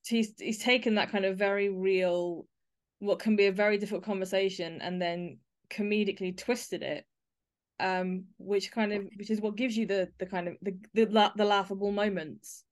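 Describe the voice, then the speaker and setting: A woman hosts a podcast. Her voice sits at a middle pitch, and she speaks as an interviewer and presenter. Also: fairly even intonation, normal speed. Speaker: podcast host.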